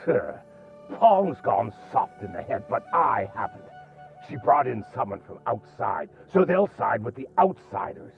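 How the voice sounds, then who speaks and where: A man's voice: fairly low pitch, big pitch swings, measured pace, vocal fry, phone-quality sound, faint music behind, full character voice. A man acting a hot-headed, scornful sect elder in a video game, with biting, accusatory delivery.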